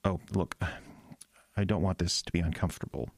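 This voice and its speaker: Casting: a flighty, sincere small-town priest for audio drama; fairly deep, halting, self-doubting. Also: male voice, gravelly, darkish tone, no room sound, studio quality. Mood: nervous, awkward. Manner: halting, self-deprecating, sentences trailing off. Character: earnest, anxious, flighty young small-town pastor.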